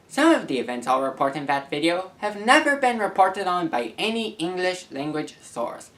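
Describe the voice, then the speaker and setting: Male, late teens, video creator. Highly varied intonation, earnest and careful, slightly halting casual read. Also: a bit bright. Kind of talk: casual vlog, reading messages aloud.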